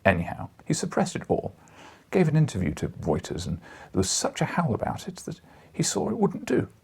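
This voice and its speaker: A man's voice: a little high, wildly swooping pitch, moderate pace, gravelly, darkish tone, breathy edge, pitched above the performer's own voice, heavily acted. A man voicing a thin, dry, disdainful scientific journalist in an audiobook, gossiping with scornful emphasis. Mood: scornful, amused.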